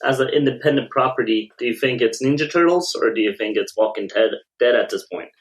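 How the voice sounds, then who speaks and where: Man, medium pitch, podcast co-host, informal slangy talk, casual chat. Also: brisk, rough recording. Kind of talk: casual chat among comics fans.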